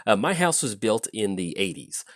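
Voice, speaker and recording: A man talking casually through a card game on his channel, thinking aloud with a lot of ums and restarts, his voice slightly raspy from a chest cold. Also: on the low side, big pitch swings, normal speed, dry recording. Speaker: YouTube gameplay commentator. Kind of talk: casual gameplay commentary.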